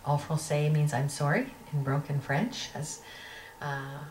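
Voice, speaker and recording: A woman's voice: low voice, steady tone, slow pace, a little hiss or hum. A woman singer-songwriter chatting easygoingly between songs at a live outdoor show, reflecting on her music. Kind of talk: between-song stage banter. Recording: echoey live PA sound from far off with loud band music.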